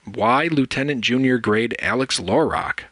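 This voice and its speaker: A man's voice: mid-range voice, measured pace, fairly bright tone, no echo. A man playing a formal, reserved starship first officer in a science-fiction audio drama, speaking tersely. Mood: formal, restrained.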